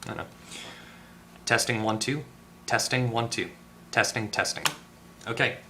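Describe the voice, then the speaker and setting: A man giving a casual hands-on electronics repair tutorial, tentative and thinking out loud as he goes. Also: lowish voice, unhurried, noisy background. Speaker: repair video host. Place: at a workbench indoors.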